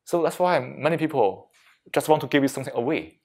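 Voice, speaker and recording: A man leading a reflective mindfulness talk as a meditation teacher, speaking deliberately in short phrases with frequent pauses and non-native English phrasing. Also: on the high side, lively intonation, darkish tone. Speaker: meditation teacher giving a workplace session.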